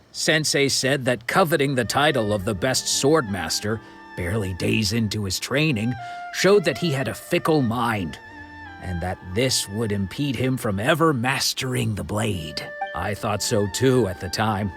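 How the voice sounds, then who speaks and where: Low-voiced, middle-aged swordsman, proud but regretful, measured storytelling, faint game music. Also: fairly bright tone. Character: grown man, former sword disciple, proud and rueful. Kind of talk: video game voice acting.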